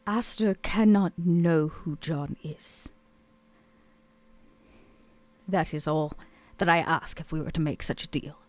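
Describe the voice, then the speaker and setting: A woman's voice: sits low, unhurried. A woman playing a weary, proud club owner in a tabletop roleplay, speaking in a theatrical, hesitant way. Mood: guarded.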